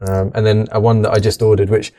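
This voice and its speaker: A man's voice: fairly low pitch, flat delivery, fast talker, mellow. A young man hosting a podcast in casual chat, making dry jokes, teasing his co-hosts and swearing casually. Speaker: podcast host.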